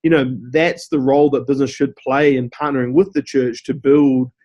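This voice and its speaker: A man with a New Zealand accent answering interview questions at mid pitch. He explains things steadily and often says "you know". The recording sounds a little dull and lo-fi. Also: flat delivery, normal speed, darkish tone. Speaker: guest, company CEO.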